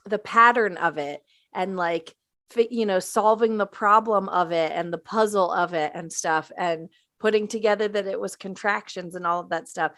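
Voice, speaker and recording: A woman's voice: mid-range voice, normal speed, fairly bright tone, no room sound, clean studio sound. A woman in a casual panel chat, speaking informally with emphatic enthusiasm.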